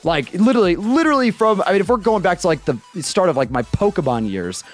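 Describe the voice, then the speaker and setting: A twenty-year-old man chatting casually on a livestream, animated and swearing, close to a boom-arm studio mic in a small bedroom. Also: fast, faint music behind. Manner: animated, swearing, talks with his hands. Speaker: streamer. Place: small bedroom streaming room with purple lights. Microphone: studio mic on boom arm, headphones.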